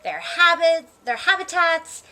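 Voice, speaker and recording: Bright, high-pitched woman reading a children's story. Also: unhurried. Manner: expressive, dramatic emphasis. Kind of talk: animated read-aloud narration in a child character's voice.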